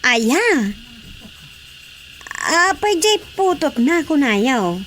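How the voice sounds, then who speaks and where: A woman playing a simple, easygoing village woman with an Ilocano accent, full of drawn-out questioning interjections, in a radio comedy. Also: high-pitched, very animated, a bit bright, a little hiss or hum, exaggerated voice. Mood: curious, chatty. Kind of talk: Filipino radio drama comedy acting.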